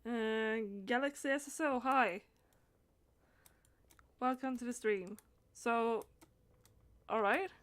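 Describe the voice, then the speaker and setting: A woman with a fairly high voice chats through a game on a live stream. She speaks very slowly and hesitantly. Her phrases often trail off as she thinks aloud. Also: steady tone, a bit bright. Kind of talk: casual live gaming stream commentary.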